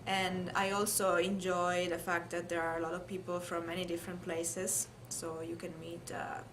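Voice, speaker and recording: A woman's voice: fairly low pitch, level tone, moderate pace. A woman from Italy with an Italian accent, thoughtfully recalling her life in an interview. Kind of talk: oral history interview, personal recollection. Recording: clear background noise, room ambience.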